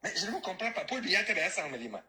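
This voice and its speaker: A man's voice: sits high, big pitch swings, racing, sharp tone, slight background noise. A Cameroonian man ranting about politics, mocking and scornful, and mixing in local-language phrases. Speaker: caller, diaspora activist. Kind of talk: heated political rant.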